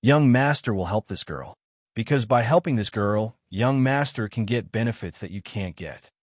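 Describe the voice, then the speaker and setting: A man's voice: fairly low pitch, lively intonation, moderate pace, no room sound, clean studio sound. Man voicing a polite, cryptic young servant girl. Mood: earnest, confident. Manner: deferential, formal, quietly confident.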